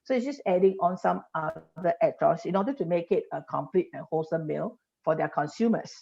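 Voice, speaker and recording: A woman's voice: on the low side, fairly quick, gravelly, warm tone. A woman, explaining as a podcast guest and piling up examples with frequent restarts. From Singapore. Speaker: industry guest. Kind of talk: podcast interview. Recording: dull lo-fi podcast audio.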